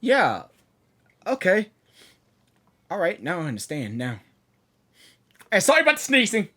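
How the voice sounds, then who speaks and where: A woman hosting a game reaction video, chatting excitedly and rambling with restarts. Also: on the low side, unhurried. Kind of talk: casual reaction commentary.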